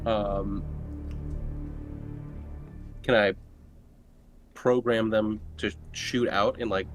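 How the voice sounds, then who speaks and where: Lowish-voiced male tabletop gamer. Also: fairly even intonation, drawn-out words, soft background music. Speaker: tabletop game player. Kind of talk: casual game table chat.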